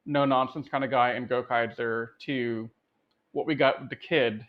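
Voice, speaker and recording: A man's voice: medium pitch, steady tone, moderate pace. A man co-hosting a film review podcast in a casual, conversational discussion style. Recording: dull, lo-fi voice sound.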